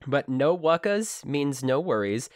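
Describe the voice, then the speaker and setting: Brief: male podcast co-host, casual and rambling, clear resonant voice. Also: mid-range voice, big pitch swings, measured pace, dry recording, clean studio sound. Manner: explanatory, rambling with restarts. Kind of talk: casual chat.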